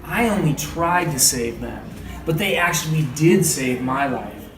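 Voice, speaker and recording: A man's voice: fairly high pitch, lively intonation, unhurried. A man voicing a frightened, urgent young village girl from a storybook, pleading with emphasis. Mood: earnest. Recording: roomy, distant mic, faint noise.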